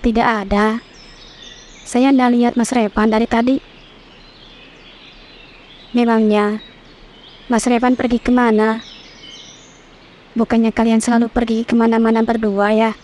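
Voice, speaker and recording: A woman's voice: fairly high pitch, flat delivery, measured pace, pauses often, a little mellow, slight background noise, voice pushed down, lightly acted. A woman doing an old village stall keeper. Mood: curious.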